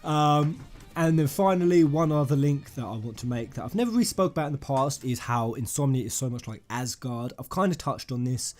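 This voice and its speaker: A man hosting a fan theory video, rambling and emphatic, addressing his viewers directly in an excited commentary style. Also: mid-range voice, a little fast. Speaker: gaming YouTuber, host. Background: music under the voice.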